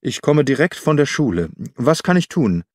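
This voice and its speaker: A man's voice: mid-range voice, big pitch swings, moderate pace, darkish tone, no echo, studio quality, close to an everyday voice. A man reading as a shaken, pleading male schoolteacher. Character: shaken, taciturn male mathematics teacher.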